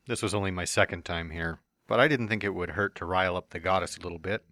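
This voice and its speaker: A man's voice: on the low side, a bit bright, dry recording, studio quality. A man reading a fan story aloud as narrator, with playful, smug first-person asides.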